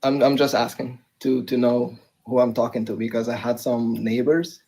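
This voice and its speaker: A man's voice: mid-range voice, fairly even intonation, normal speed, warm tone, slightly rough. A young man from Egypt, a student in a live debate, answering hesitantly with many fillers and restarts. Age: young adult. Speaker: debate participant.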